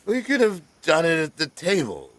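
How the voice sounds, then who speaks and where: An actor playing a tipsy, sardonic drinking companion who teases flippantly in an audio drama, faint bustle behind. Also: male voice, on the high side, huge pitch swings, normal speed, fairly bright tone. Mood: tipsy, mocking. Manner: teasing, slack, sardonic.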